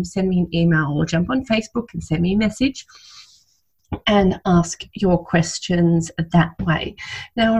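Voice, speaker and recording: Woman hosting, mid-pitched voice. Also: darkish tone. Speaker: podcast host and parenting coach. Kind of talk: informal solo presenting to listeners.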